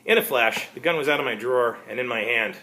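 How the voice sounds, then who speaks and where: A man giving an amateur reading of a first-person story, narrating in a halting, wry way. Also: medium pitch, sharp tone, poor audio. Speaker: author reading his own story.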